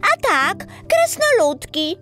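Sweet little star played by a woman, storybook teller, slow pace, very animated. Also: very high-pitched, pitched above the performer's own voice, exaggerated voice. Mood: cheerful. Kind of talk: children's audiobook storytelling. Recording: music behind the voice.

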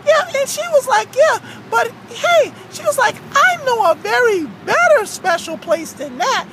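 A man voicing a whiny, outraged girl doll in an improvised toy skit, wailing and complaining excitably. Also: very high voice, a little slow, noisy background, pitched above the performer's own voice. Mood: indignant.

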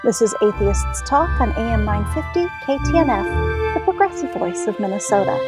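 A woman's voice: medium pitch, animated, a little fast, loud music behind the voice. A woman hosting a radio interview show, asking questions and addressing the audience. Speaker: radio host.

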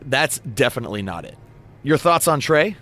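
Lively, wry podcast host. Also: male voice, medium pitch, normal speed. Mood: chatty, wry. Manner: wryly exasperated straight man. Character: chatty, patient wrestler podcast host man. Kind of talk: podcast banter in a video game.

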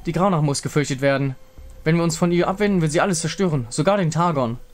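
A man's voice: on the high side, fast, lightly acted. A man voicing a determined heroine in a game story, urgent, spirited and direct. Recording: music behind the voice.

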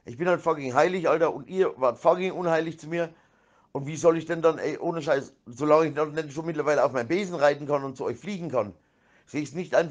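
A man rambling in a voice message, slangy and sweary, repeating himself and slipping into rhymes. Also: fairly high pitch.

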